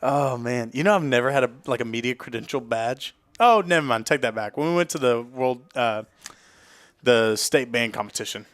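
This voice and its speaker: A man's voice: mid-range voice, lively intonation, normal speed, no room sound. A man chatting casually as part of a sports livestream crew in a stadium booth. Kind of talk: casual chat. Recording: noisy live booth, clicks.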